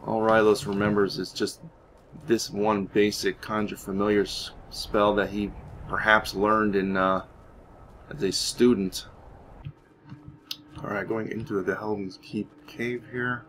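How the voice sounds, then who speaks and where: A man's voice: lowish voice, flat delivery, measured pace, a bit bright, a little hiss or hum. A man giving casual, rambling game commentary, thinking aloud hesitantly as he plays. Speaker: gaming YouTuber narrating his playthrough.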